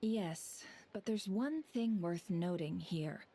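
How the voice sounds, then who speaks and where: Lowish-voiced, composed investigator. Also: female voice, mellow, slight background noise. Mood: calm, analytical. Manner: measured, deliberate, formal. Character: composed, analytical adult female investigator. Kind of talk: video game voice acting.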